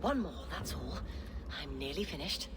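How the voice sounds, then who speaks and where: Video game villainess: murderous healer, wistful and hushed, lively intonation over clear background noise. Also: female voice, sits low, moderate pace. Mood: calm, absorbed. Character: obsessed female healer turned murderous human experimenter, serene and wistful. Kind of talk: dramatic video game acting.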